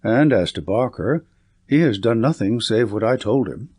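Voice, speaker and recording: A man's voice: lowish voice, lively intonation, moderate pace, darkish tone, breathy edge. A man voicing a confident, commanding detective in an audiobook, speaking in an assured, articulate, lecturing way. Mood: brisk, assured.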